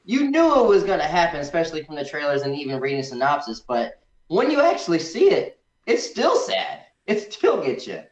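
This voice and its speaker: A man's voice: a little high, huge pitch swings, a little fast. A man in a casual film discussion, animatedly acting out imagined remarks as he reviews. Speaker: guest co-reviewer on a movie discussion. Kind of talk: casual chat. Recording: echoey room, dull lo-fi sound.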